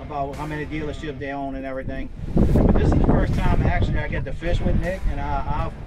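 A man's voice: fairly high pitch, level tone, quick pace, over loud music, rough recording. A man, chatting casually to camera as host of a fishing show, out on an open boat. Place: outdoors on a small open boat on the water. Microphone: action camera a few feet away.

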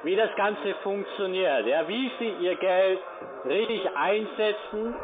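A man with a high voice makes a casual sales call as a call centre agent. The sound is narrow like a phone line, with a loud party-like din of music and voices behind him. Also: slow pace. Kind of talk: casual telephone sales pitch. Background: loud music and crowd chatter.

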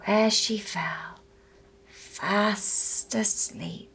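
A young woman telling a story to small children in a gentle, deliberate, expressive manner. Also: mid-range voice, vocal fry, a little hiss or hum. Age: young adult. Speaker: puppet show storyteller. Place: small furnished room indoors.

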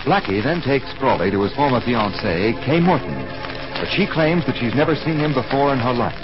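A man's voice: mid-range voice, very animated, fairly quick. A man reading scripted narration as the announcer of a 1940s radio drama. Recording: muffled, noisy 1940s radio with music.

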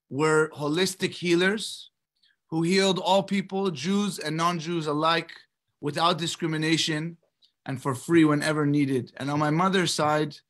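A middle-aged American man from Los Angeles giving a prepared personal address as a rabbi, in a measured, slightly halting way on a video call. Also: fairly high pitch, a bit bright. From Los Angeles, United States. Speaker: rabbi, guest speaker. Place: indoors in a book-lined study, on a video call. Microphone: computer mic on a video call.

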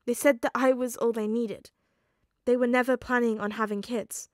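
A woman's voice: fairly high pitch, brisk, darkish tone, dry recording, studio quality, natural voice. A woman voicing an upset teenage boy who argues tearfully and stubbornly. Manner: tearful, pleading, sniffling.

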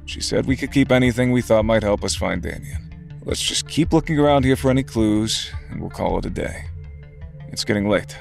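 An actor playing a practical, methodical male investigator in an audio drama, with a creaky voice. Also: on the low side, normal speed, faint music behind. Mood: calm, purposeful. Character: practical, methodical man searching for clues.

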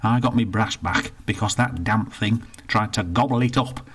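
A man's voice: lowish voice, moderate pace, fairly bright tone, slightly rough. A man voicing a stubborn, belligerent older man, blustering and indignant.

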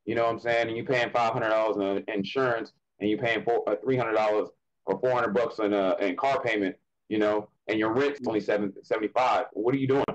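A man's voice: fairly low pitch, steady tone, a little fast, muffled recording. A man co-hosting a podcast, talking casually and bluntly, swearing freely. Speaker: podcast co-host. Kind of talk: casual chat.

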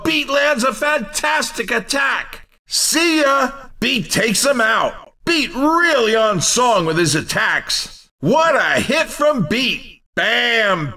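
An excitable male commentator from an arcade racing video game. His voice is bright, forceful and pitched very high for a man. He barks out short, punchy exclamations at an unhurried pace, as if every hit is a huge event. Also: far from the mic, exaggerated voice. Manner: shouted, punchy, exclamatory delivery.

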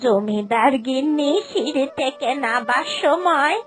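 A woman voicing a grave old village aunt in a cartoon folk tale, drawn-out and moralizing. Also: high-pitched, expressive, faint music behind. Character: old village aunt gravely gossiping about divine punishment. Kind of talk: Bengali animated folk-tale acting.

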